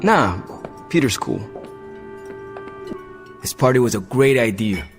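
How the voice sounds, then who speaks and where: A man's voice: medium pitch, big pitch swings, takes it slowly. A man playing a kindly, upbeat superhero in a video game cutscene, casual and encouraging. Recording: long hall reverb, music behind.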